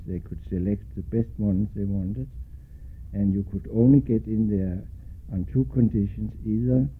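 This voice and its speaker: An older man from Denmark with a Danish accent, recalling his life in an oral history interview, pausing often and spelling out names letter by letter. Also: lowish voice, husky. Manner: slow, spells out names letter by letter, many hesitations. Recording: tinny lo-fi recording with faint hiss.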